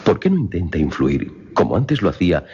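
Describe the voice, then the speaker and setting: Man playing a deep, gravelly, solemn doctor. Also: sing-song, a little fast, a little mellow, muffled recording, heavily acted. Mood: grave, doubtful. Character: solemn, uneasy male doctor witnessing a hypnotic experiment. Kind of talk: Spanish-language horror radio play acting.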